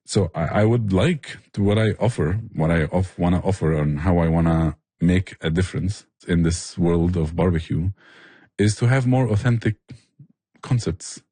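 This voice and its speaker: A man's voice: deep voice, normal speed, dark tone, husky, no echo. A man explaining things with passion in a conversational interview. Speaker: barbecue restaurant owner and chef, interview guest.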